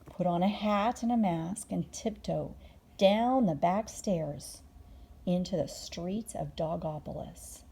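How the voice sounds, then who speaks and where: A woman reading a children's bedtime story aloud expressively, drawing out words and dropping into hushed moments. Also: lowish voice, slow, breathy edge. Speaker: storybook reader.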